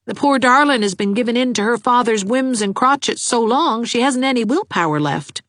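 A woman's voice: a little high, animated, fairly bright tone, no room sound, clean studio sound, pitched above the performer's own voice. A woman voicing a lovesick, gloomy young man who talks in an earnest, fretful rush. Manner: earnest, fretful, fast-talking. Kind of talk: audiobook character voice.

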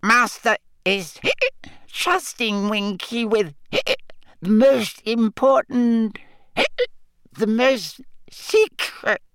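A man voicing a tiny, drunk, tearful female house-elf who talks in squeaky, slurred, pleading bursts. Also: extremely slow, pauses often, fairly bright tone, clear, resonant tone, dry recording, exaggerated voice.

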